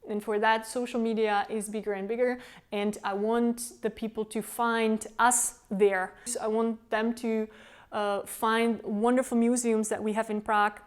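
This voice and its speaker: A woman's voice: on the high side, flat delivery, unhurried, smooth. A woman from the Czech Republic, a native Czech speaker with a Czech accent, earnestly answering interview questions as a tour guide. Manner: earnest, explains at length. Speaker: tour guide and company founder.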